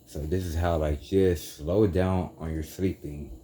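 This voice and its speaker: A young man with a very low, dark and mellow voice drifts through a loose spiritual talk, tossing in casual slang and backtracking on his words. A faint noise sits under the recording. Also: steady tone, unhurried. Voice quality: deep voice. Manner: meandering, self-correcting, casual slang like "dude". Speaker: YouTuber. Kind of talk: rambling spiritual monologue.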